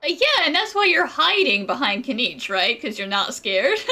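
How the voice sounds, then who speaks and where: A woman's voice: high voice, very animated, normal speed, very noisy. A woman voicing a cool, laid-back young woman in a video game, playful, amused and teasing. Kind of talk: video game character acting.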